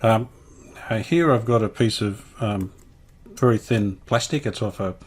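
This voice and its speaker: Male restorer, lowish voice, casual how-to commentary, tentative thinking-aloud delivery. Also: a little slow, husky, soft background music. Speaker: radio restorer presenting a repair series.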